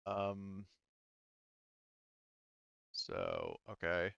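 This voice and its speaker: A man acting as game master of a casual tabletop roleplaying game, speaking haltingly as he thinks through the rules aloud. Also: sits low, wildly swooping pitch, vocal fry, a bit bright, clear, resonant tone, dry recording, highs cut off.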